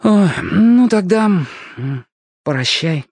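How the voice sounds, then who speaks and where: Schoolboy for a storybook: polite, earnest, childlike, lifted pitch, dry studio closeness man acts him out. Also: expressive, moderate pace, darkish tone, no echo. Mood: wistful. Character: polite, earnest young boy on a mission. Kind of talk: acted audiobook dialogue.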